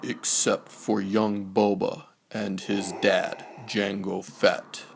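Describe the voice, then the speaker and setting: A man narrating a bedtime storybook aloud in a hushed, measured, rhyming delivery. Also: fairly low pitch, level tone, vocal fry, slight background noise.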